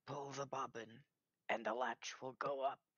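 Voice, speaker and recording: A man voicing a cunning storybook wolf who pretends to be an old grandmother, soft and feeble. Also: mid-range voice, little pitch movement, unhurried, no room sound, pitched above the performer's own voice. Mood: coaxing. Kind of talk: storybook character voice. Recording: dry, dull lo-fi recording.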